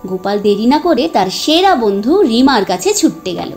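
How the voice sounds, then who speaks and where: A woman storyteller reads a moral tale with a lively, swinging intonation and a fairly high voice. Her delivery is expressive and clearly scripted, and faint music plays underneath. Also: normal speed.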